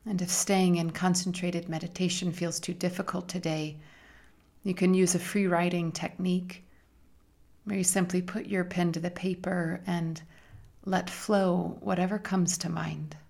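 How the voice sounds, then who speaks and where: A woman leading a guided meditation in a soft, gentle, soothing manner with long pauses and breathy phrase endings. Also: on the low side, flat delivery.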